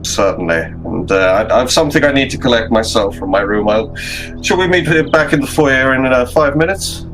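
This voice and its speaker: A man voicing a world-weary older gentleman investigator with halting, courteous, condescending speech in a tabletop roleplaying game. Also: mid-range voice, expressive, lightly acted, low-quality recording. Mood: courteous, resolved. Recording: faint music behind the voice.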